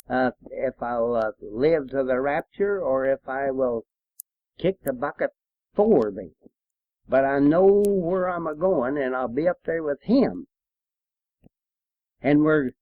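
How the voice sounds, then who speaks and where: Elderly man, country American accent, folksy reminiscing, drawn-out slow pace, close dry sound, dull low-fidelity tape. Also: no echo. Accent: rural American. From Appalachian hill country, United States. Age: elderly, 70s or older. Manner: folksy, unhurried, with trailing pauses. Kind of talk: rambling personal reminiscence recorded as an audio letter. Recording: dull, lo-fi home tape recording.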